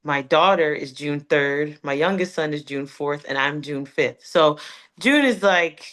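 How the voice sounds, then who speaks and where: A woman's voice: low-pitched, measured pace, a bit bright. A woman in her thirties from Connecticut with an American accent, chatting casually and informally as an interview guest. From Connecticut, United States. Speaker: guest, gospel recording artist. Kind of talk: casual chat.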